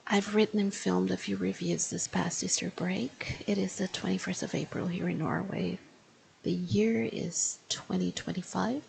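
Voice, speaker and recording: A woman in her fifties, an English teacher, giving a spoken video review in a deliberate, explanatory way and slowing down to stress key phrases. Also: lowish voice, steady tone, gravelly, darkish tone, slight background noise, poor audio. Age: 50s.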